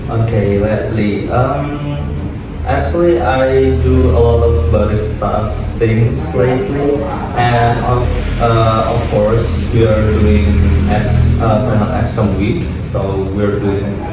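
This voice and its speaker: A man's voice: medium pitch, fairly even intonation, slow pace. Young man in an echoey, noisy room. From Indonesia. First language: Indonesian. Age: young adult. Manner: hesitant, many fillers and restarts. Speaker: guest, university student. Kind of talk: casual student interview. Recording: echoey room, far from the mic, loud noise.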